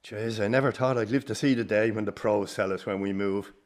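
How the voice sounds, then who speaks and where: Man playing gruff old irish circus dad, lowish voice, grumbles and swears, radio play. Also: fairly even intonation, a little fast, natural voice. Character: grumpy, bitter old circus father, gruff and stubborn. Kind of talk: radio drama acting.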